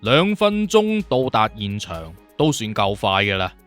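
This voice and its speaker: 一個男人用粵語有聲小說嘅演法，扮演一個自信、直率、咄咄追問又冇耐性嘅刑偵顧問。. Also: male voice, lowish voice, big pitch swings, measured pace, fairly bright tone, faint music behind. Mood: composed, assured. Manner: assertive, questioning, direct. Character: self-assured, blunt detective consultant, probing and impatient. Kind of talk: Cantonese audiobook character acting.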